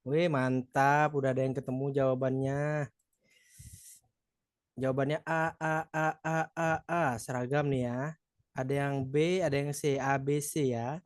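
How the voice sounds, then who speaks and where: A man's voice: medium pitch, fairly even intonation, unhurried, pauses often, no echo, studio quality. A man from Madura with a Madurese accent, tutoring a live online exam practice session, chatty and encouraging, joking with participants.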